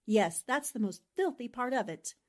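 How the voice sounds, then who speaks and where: Woman as a husky, sensible reporter. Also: on the high side, expressive, moderate pace. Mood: confiding. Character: sensible, hushing woman reporter, the steadier partner. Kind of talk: 1940s radio drama acting.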